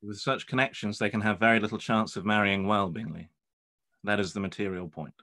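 A young man playing a proud, reserved gentleman speaking formally in a period drama read over video call. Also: lowish voice, steady tone, fairly quick. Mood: dismissive. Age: young adult. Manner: formal, terse. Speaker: actor in live read.

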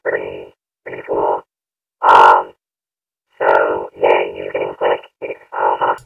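Super deep croaky slow monster, pitch shifted way down, casual demo. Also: female voice, monotone, recorded from a distance, phone-quality sound, exaggerated voice. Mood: calm demonstration. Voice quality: croaking, gravelly. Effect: deep pitch-shifting voice changer.

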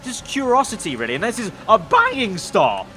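A young man commentating a racing game, excitable and slightly hoarse, breaking into shouts at crashes. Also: high voice, moderate pace, very noisy. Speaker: gaming YouTuber.

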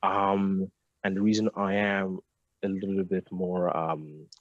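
A man's voice: low-pitched, level tone, slow, no room sound, highs cut off. A young man born in Nigeria and raised in London, an architect answering hesitantly over a video call. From Nigeria, raised in South London. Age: young adult. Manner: hesitant, many ums and restarts. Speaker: architect, guest. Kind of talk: remote interview answer. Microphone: headset on video call.

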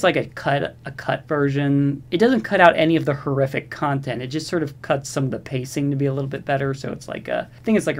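A man giving casual movie review commentary in a rambling, slangy, sarcastic way. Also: medium pitch. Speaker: video host reviewing horror movies.

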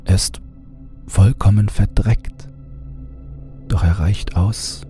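A man narrating a horror audiobook in a deliberate, theatrical way, at times dropping to a hushed tone. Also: fairly low pitch, fairly even intonation. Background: music under the voice.

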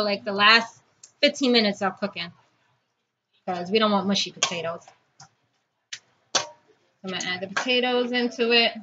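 A woman in her thirties giving a casual, chatty home cooking vlog, talking viewers through each step while her hands are busy. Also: mid-range voice. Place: home kitchen, indoors. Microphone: built-in camera or phone mic within arm's reach. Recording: dull lo-fi audio, loud noise, clicking.

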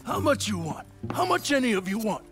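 An actor playing a captured outlaw leader in a western video game, a sly braggart who wheedles and taunts insolently. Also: male voice, high-pitched, big pitch swings, brisk. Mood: sly bargaining. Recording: music behind the voice.

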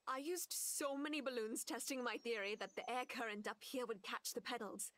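An actress voicing an earnest, nerdy young woman in love in a video game, articulate and gently confessional. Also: fairly high pitch, steady tone, moderate pace, dry recording, lightly acted. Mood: happy, affectionate.

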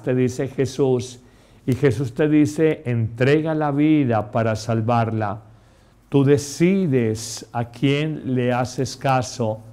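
A middle-aged priest delivers a homily at an unhurried, deliberate pace. He preaches with emphasis and talks straight to the listener. His voice sits at an ordinary pitch for a man, recorded close in a small chapel. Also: a little mellow. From Colombia. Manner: slow, emphatic preaching, addressing the listener directly. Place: small chapel set, indoors.

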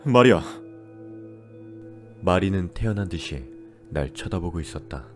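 A man's voice: low-pitched, expressive, unhurried, soft background music. A man playing a troubled widowed father in his thirties who narrates a scripted horror story and acts out his lines. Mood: alarmed, angry.

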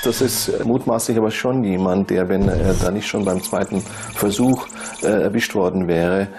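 A man giving unscripted expert commentary in an interview, analytical and halting as he searches for words. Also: low voice, darkish tone, slight background noise.

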